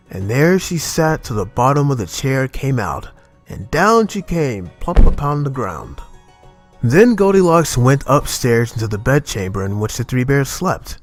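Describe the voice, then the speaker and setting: A man narrating a children's tale as a storyteller, reading expressively in a measured manner. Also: medium pitch.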